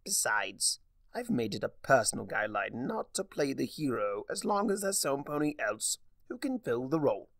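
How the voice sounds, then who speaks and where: A man's voice: medium pitch, very animated, normal speed, gravelly, a bit bright, no room sound, clean studio sound, exaggerated voice. A man voicing a mischievous male chaos spirit in a storybook reading, with a theatrical, teasing drawl. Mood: wry, teasing.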